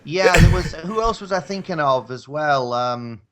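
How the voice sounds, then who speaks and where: A man's voice: a little high, expressive, a little slow, crowd noise. Polite, hesitant male podcast guest. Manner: polite, hesitant, often restarts phrases. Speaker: podcast guest, audio drama performer. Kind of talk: casual chat.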